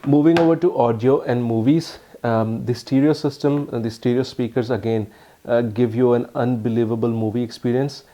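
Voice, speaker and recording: A man with an Indian accent giving a casual, halting phone review full of ums and uhs. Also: medium pitch, fairly even intonation, dark tone. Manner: earnest, explanatory. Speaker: tech reviewer.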